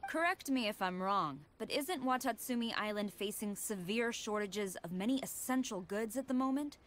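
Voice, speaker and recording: A woman playing a stern, formal military commander in a video game, speaking with measured authority. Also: medium pitch, lively intonation, natural voice. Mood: stern, businesslike. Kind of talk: scripted video game dialogue.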